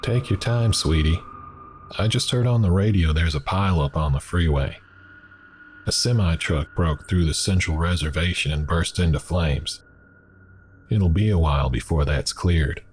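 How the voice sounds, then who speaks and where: A man's voice: low-pitched, normal speed, slight background noise, natural voice. A man voicing a friendly, chatty diner waitress with a delicate Southern accent who calls customers hon and sweetie. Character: friendly middle-aged female diner waitress.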